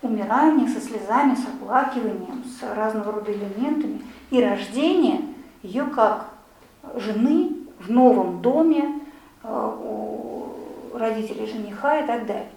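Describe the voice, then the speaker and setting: A woman's voice: fairly high pitch, normal speed, vocal fry, dark tone. A woman giving a lecture and answering questions, talking in an explanatory, conversational way with many fillers. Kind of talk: lecture with audience discussion. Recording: echoey room, voice from far off.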